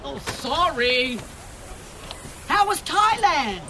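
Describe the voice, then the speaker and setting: A middle-aged mother in an audio drama. She scolds briskly and fusses protectively, her pitch leaping about. Clear ambient noise runs behind her. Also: big pitch swings, bright, forceful tone, heavily acted. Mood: prying. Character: harried, nagging, protective mother of grown sons. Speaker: restaurant owner.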